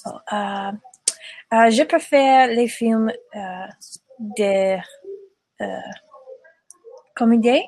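A young woman whose first language is English, speaking halting beginner French with many hesitant fillers. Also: fairly high pitch, darkish tone, no room sound, rough recording. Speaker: French learner.

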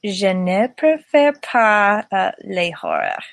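A young woman whose first language is English, speaking halting beginner French with many hesitant fillers. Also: mid-range voice, animated, creaky, dry recording. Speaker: French learner.

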